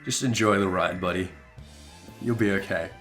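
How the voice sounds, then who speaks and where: A man's voice: sits low, normal speed, a bit bright, soft background music. A man giving casual, joking commentary on a video game story. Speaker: video game streamer and narrator.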